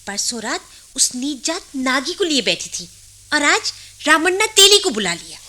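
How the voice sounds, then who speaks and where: A village housewife in a radio play. She has a high, bright voice with a very lively rise and fall, and speaks with expressive emphasis. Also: normal speed, exaggerated voice. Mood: grumbling. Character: housewife, hospitable yet snobbish and grumbling about grandmother. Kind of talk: Hindi radio play acting.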